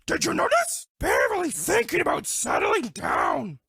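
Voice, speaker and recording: A woman's voice: extremely high pitch, wildly swooping pitch, a little slow, gravelly, fairly bright tone, dry recording, clean studio sound, exaggerated voice. A woman voicing a sweet, dramatic, lovestruck female bear in a cartoon dating game, speaking broken English in a gushing way. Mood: earnest, coy.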